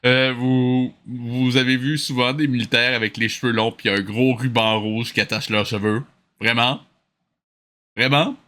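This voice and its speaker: A man's voice: medium pitch, steady tone, unhurried, sharp tone. A man with a Quebec French accent giving casual, mocking commentary, sarcastic and incredulous. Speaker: host commenting on an old cartoon.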